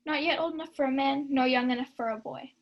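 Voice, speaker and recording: Young woman playing high-voiced scolding lady's maid. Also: fairly even intonation, quick pace, muffled recording. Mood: reporting. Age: young adult. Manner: theatrical, chiding. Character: Maria, scolding sharp-tongued lady's maid. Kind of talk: 1940s radio Shakespeare acting.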